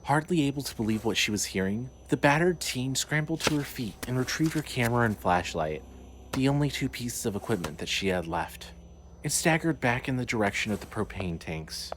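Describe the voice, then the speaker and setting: A man's voice: mid-range voice, lively intonation, fairly quick. Male horror narrator over noisy ambience. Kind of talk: scripted horror story narration. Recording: clearly noisy ambient background.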